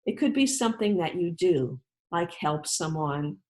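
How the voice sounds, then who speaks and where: A woman author reading aloud and talking directly to children in an encouraging, instructive way with deliberate, emphatic phrasing. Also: fairly low pitch, expressive, darkish tone.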